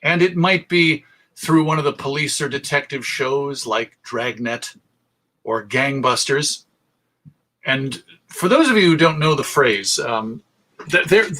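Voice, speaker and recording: A man's voice: mid-range voice, lively intonation, measured pace, pushed and bright. A man presenting an informal history talk as host, enthusiastic and emphatic as he lists examples. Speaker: presenter, radio show host.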